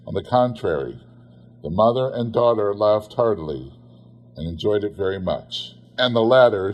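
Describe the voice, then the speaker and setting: A man narrating a literary story expressively, in a measured, theatrical manner. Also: on the low side, darkish tone. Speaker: audiobook narrator.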